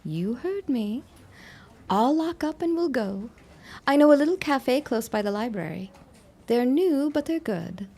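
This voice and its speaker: A woman's voice: high-pitched, animated, unhurried, warm tone, heavily acted. Woman playing a curt, sardonic 1910s office secretary. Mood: flirtatious, brisk. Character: Helen Kidwell, brusque flirtatious 1910s office secretary, world-weary. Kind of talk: period audio drama acting.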